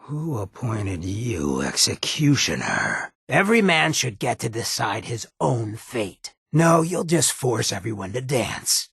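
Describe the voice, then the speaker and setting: An actor playing a roguish, irreverent ghoul in a video game, with a raspy American voice and a wry, cocky, streetwise drawl. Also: male voice, mid-range voice, a bit bright, no room sound, clean studio sound, exaggerated voice. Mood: disapproving. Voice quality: raspy, gravelly. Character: roguish drug-loving irradiated ghoul, swaggering, irreverent, loyal. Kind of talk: video game companion dialogue.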